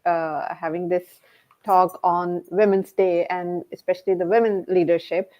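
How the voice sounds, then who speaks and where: A woman hosting a podcast, asking questions casually in halting, hesitant phrases. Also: lowish voice, fairly even intonation. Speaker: podcast host. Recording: dull, muffled lo-fi audio.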